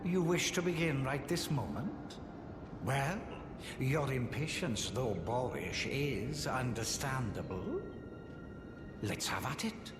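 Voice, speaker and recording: A man's voice: medium pitch, animated, slow. A man acting as a haughty, condescending sorcerer in a fantasy video game, with a pompous, theatrical drawl. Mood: smug condescension. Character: haughty, condescending male sorcerer, sly and scornful puppet-maker. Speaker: preceptor of sorcery. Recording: echoey reverberant hall with game music.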